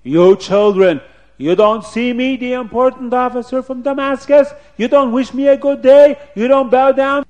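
Vain storybook officer, acted by a man, self-important, easily angered, mellow tone with clumsy, stilted phrasing. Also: a little high, fairly even intonation, slow. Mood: outraged, imperious. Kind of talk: children's storytelling character voice.

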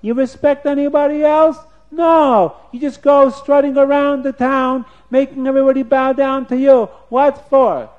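Storybook child, done by a man: small, defiant, high-voiced, full of indignant questioning. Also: steady tone, moderate pace, few pauses, narrow, tinny audio. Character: cheeky, defiant little child standing up to authority.

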